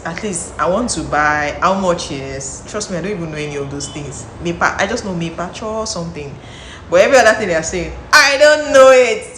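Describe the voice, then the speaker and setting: A woman's voice: sits low, fairly bright tone. A young woman with a Nigerian accent, chatting to camera in a vlog, talking freely with lots of restarts and repeated words. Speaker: vlogger. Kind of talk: casual talk to camera. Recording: echoey room, faint background noise.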